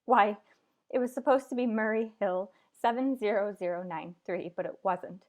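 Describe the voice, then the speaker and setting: A woman's voice: mid-range voice, moderate pace, lightly acted. An actress playing a nervous, demanding invalid wife in a suspense radio play, flustered and insistent as she talks. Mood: shaken, pleading. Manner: fretful, insistent, flustered, stammering. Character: frantic, imperious, nervous invalid wife home alone. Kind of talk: old-time suspense radio play acting.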